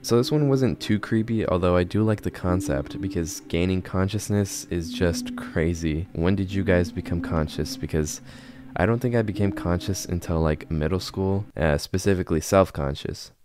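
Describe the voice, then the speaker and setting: A man's voice: low-pitched, moderate pace. A man in his twenties giving casual scripted commentary as a video host, close to a studio microphone. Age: 20s. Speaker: YouTube horror video host. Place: bedroom, indoors. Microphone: close studio microphone on a boom arm.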